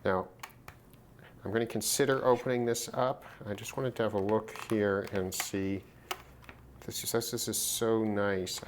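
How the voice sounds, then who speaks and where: A man giving casual, unscripted commentary on a hands-on repair, halting and musing to himself as he works. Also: lowish voice. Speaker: host of a hobby radio repair video. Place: at a desk, indoors. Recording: clear background noise, handling clicks.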